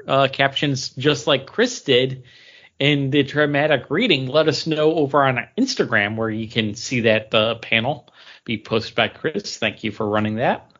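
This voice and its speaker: A man's voice: mid-range voice, fairly bright tone. A man co-hosting a podcast, chatting casually as he reviews comic books. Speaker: podcast co-host. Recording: dull lo-fi podcast audio.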